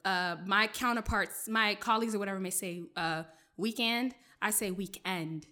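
A young woman from Maryland whose first language was French, a journalist telling stories from her childhood in a relaxed, chatty interview, full of fillers and laughs. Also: medium pitch, moderate pace. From Maryland, USA. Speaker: journalist, guest. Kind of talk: casual interview storytelling.

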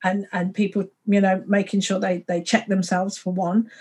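A woman hosts a relaxed conversation. She rambles from thought to thought, laughs often, and speaks at an ordinary, mid-range pitch. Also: normal speed, breathy edge. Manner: rambling, laughs often, frequent fillers and restarts. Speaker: podcast host. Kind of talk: casual conversation.

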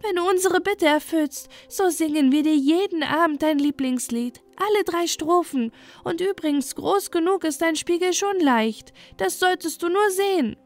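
A woman voicing an eager little lapwing bird in a storybook, squealing high and quick. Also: faint music behind. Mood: pleading.

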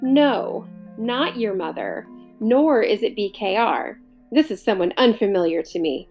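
A woman voicing a gentle, frail male alien who speaks slowly and formally. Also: mid-range voice, animated, faint music behind. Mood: calm.

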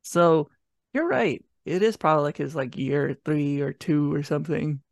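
A man's voice: fairly high pitch, moderate pace, vocal fry, darkish tone, no echo, studio quality. A man co-hosting a podcast, talking in a loose, casual, conversational way. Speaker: podcast co-host reviewing an audio drama. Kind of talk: casual chat.

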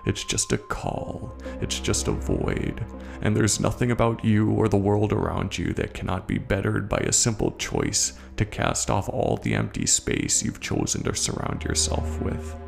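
A man playing a bitter, haunted military veteran in a gravelly, confiding horror story monologue, profane and speaking straight to the listener. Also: deep voice, huge pitch swings, a little slow, heavily acted. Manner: conversational, confiding, addresses the listener directly. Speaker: narrator of a horror short story. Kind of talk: scripted first-person horror monologue. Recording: voice over a music soundtrack.

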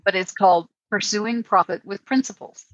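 An older woman hosting a podcast, talking in a measured, friendly way. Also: medium pitch, fairly even intonation, dry recording. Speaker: podcast host. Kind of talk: casual podcast chat. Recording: dull dry lo-fi audio.

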